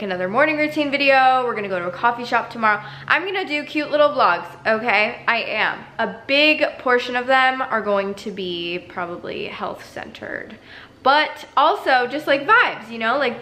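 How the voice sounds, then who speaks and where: A woman's voice: on the high side, pushed and bright. Bubbly woman in her twenties vlogging. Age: 20s. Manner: bubbly, gushing, talks straight to camera. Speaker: lifestyle vlogger. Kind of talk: casual chatty vlog. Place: apartment living room, indoors.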